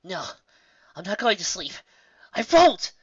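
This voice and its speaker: A man's voice: high-pitched, big pitch swings, measured pace, breathy edge, no room sound, dull audio, pitched above the performer's own voice, full character voice. A man voicing a stubborn, desperate young pegasus filly with a strained, defiant manner in a story reading.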